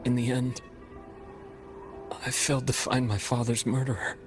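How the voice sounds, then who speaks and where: An actor playing a calm, steadfast male companion in a video game, speaking in a measured, earnest and reassuring way. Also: mid-range voice, close to an everyday voice. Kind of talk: video game cutscene voice acting. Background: background music.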